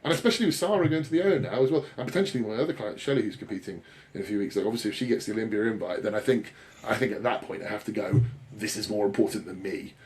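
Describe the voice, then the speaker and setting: Male, 30s, British. Lower-pitched voice, loose rambling podcast chat, blokey and self-deprecating. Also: lively intonation, rapid-fire, a little mellow. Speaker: podcast co-host and bodybuilding coach.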